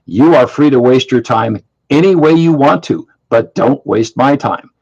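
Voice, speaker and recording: A man's voice: medium pitch, unhurried, darkish tone, breathy edge. A man in his seventies hosting an online question session, talking bluntly and dismissively and often repeating himself. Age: 70s. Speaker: host. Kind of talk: casual monologue to an online audience. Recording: muffled lo-fi audio.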